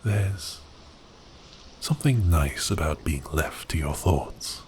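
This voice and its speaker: A man playing a giant cursed sea beast prince in an ASMR audio roleplay, speaking in grand archaic phrases with a teasing, theatrical manner and a breathy voice that dips into whispers. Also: sits low, animated, slow, a little mellow, slight background noise, exaggerated voice. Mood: wistful, resigned.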